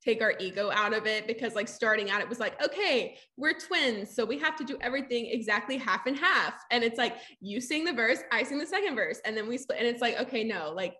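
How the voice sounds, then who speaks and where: A woman's voice: a little high, animated, fairly quick, few pauses, pushed and bright. Casual woman, soulful grit. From Southeast Texas, US. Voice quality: soulful, gritty. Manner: informal, frequent "like". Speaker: singer-songwriter in a sister duo. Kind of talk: casual interview chat.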